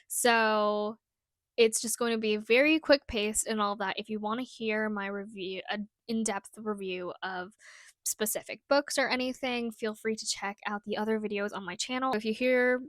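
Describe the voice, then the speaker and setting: A woman's voice: medium pitch, steady tone, a little slow, a bit bright, no echo. A young woman with a cold, chatting casually through a recap of her year's reading as a book vlogger, with many fillers. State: fighting a cold.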